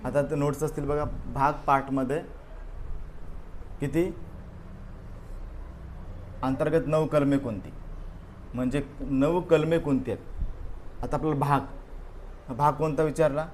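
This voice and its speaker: A man's voice: fairly high pitch, fairly even intonation, slow, pauses often, a little mellow. A man leads a classroom exam review. He drills the answers by saying them again and again and keeps questioning his students. Faint room noise sits behind his voice. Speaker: teacher.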